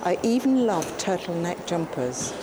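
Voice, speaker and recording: A woman with an English accent talking to herself in an excitable, exclamatory way, as in a spontaneous audio diary recorded out and about. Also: fairly low pitch, unhurried. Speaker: performance artist narrating her own outing. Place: outdoors, in a moving car and shops. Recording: distant mic, loud car and street noise. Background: loud traffic and vehicle ambience.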